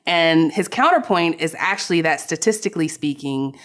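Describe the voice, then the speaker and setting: A woman co-hosting a personal finance podcast, explaining ideas clearly in an upbeat, chatty way. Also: low voice, expressive, normal speed, fairly bright tone. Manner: articulate, upbeat, laughs easily. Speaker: podcast co-host. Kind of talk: casual podcast chat.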